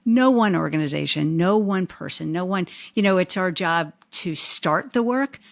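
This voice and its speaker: A woman hosts a conversation, affirming her guest's points and musing aloud, with a frequent "you know." Her voice is lowish with a gravelly fry, and it is recorded close and dry. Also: expressive, normal speed, no echo. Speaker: podcast host. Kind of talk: conversational interview.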